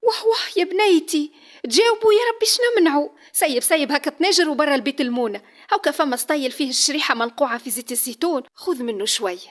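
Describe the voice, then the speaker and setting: A woman's voice: sits high, fast, a bit bright. A woman with a Tunisian accent voicing a bustling older mother who fusses and scolds her daughter. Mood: shrill.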